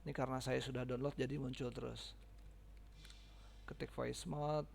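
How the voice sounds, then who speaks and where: A man giving a casual, informal step-by-step software tutorial, often checking in with his listeners. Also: mid-range voice, level tone, normal speed, gravelly, a little hiss or hum.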